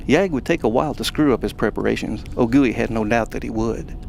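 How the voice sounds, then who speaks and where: A man narrating a science fiction audio story in a measured, even way. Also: mid-range voice, creaky, slight background noise.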